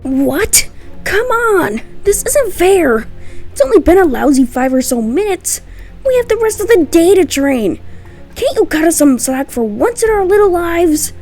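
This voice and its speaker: A woman's voice: very high voice, very animated, unhurried, darkish tone, soft background music, voice pushed up, heavily acted. A woman voicing a defiant ten-year-old boy trainee in an amateur comic dub, protesting in an indignant, pleading manner. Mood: indignant, whiny. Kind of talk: amateur dubbed comic voice acting.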